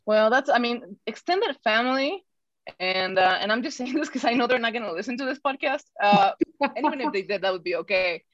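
A woman's voice: on the high side, animated, brisk, no room sound, dull audio. A woman from Mexico, a podcast guest, telling a personal story in a casual, chatty way full of restarts.

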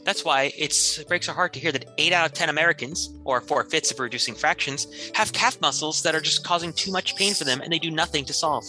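Bright, high-voiced man improvising radio ads. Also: brisk, hardly any pauses, soft background music. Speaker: improv comedy performer. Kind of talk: improvised parody radio commercials.

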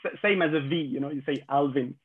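A man in his twenties with a French accent, a podcast guest telling his story in a halting way, with many fillers and restarts. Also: a little high, narrow, tinny audio, slight background noise. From France. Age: 20s. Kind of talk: casual interview storytelling.

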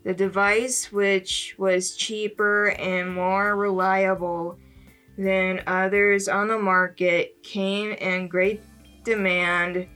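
A woman reading a scripted biography aloud, haltingly, with pauses in the middle of phrases. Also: mid-range voice, flat delivery, fairly bright tone, soft background music, poor audio.

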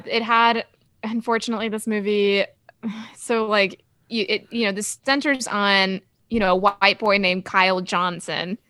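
A woman's voice: mid-range voice, pushed and bright, clean tone, no echo. A woman hosting a casual film discussion, speaking in an informal, conversational way. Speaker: podcast host.

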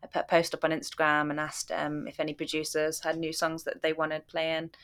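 A woman's voice: low voice, brisk, clean tone. A young woman from Coventry, a DJ guest chatting casually on a podcast with lots of ums and easy laughs. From Coventry, England. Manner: relaxed, filler-heavy, laughs easily.

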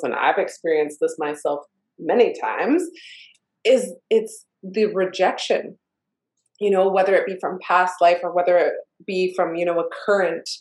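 A woman's voice: on the low side, a little mellow. A woman hosting a podcast in an easy, conversational way. She tells earnest stories and acts out little quotes as she goes. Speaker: podcast host. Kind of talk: casual conversational interview. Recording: roomy echo.

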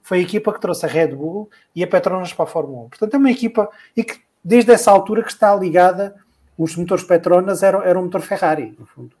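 Man, rambling podcast co-host, a bit higher voice. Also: fast talker, a little mellow. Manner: rambling, thinks aloud with many repeats. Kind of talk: casual podcast chat about motor racing.

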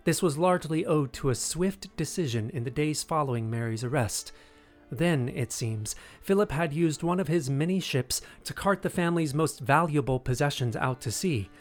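Measured male narrator over music. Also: a little high, lively intonation. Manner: measured, dramatic storyteller. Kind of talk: scripted historical narration.

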